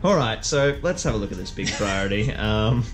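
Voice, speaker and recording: A man's voice: on the low side, animated, brisk. Jokey man calling a tabletop game. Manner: jokey, self-mocking, exclaiming at dice rolls. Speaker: player and host of a tabletop wargame video. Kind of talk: casual play-by-play game commentary. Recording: music behind the voice.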